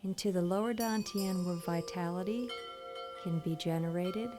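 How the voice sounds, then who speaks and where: A woman leading a guided meditation in a soothing, gentle, instructional manner. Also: lowish voice, moderate pace. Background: soft meditation music with chimes.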